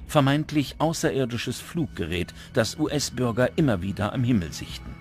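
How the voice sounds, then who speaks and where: A man reading scripted documentary narration in a measured, dramatic manner. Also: medium pitch, lively intonation. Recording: music under the voice. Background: background music.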